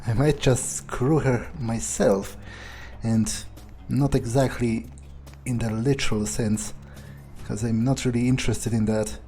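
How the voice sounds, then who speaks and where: A man playing a jaded, impatient vampire in a video game, thinking aloud in a halting, profane, sardonic monologue. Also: mid-range voice, steady tone, warm tone, lightly acted. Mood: scornful. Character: jaded, foul-mouthed male vampire, contemptuous and impatient.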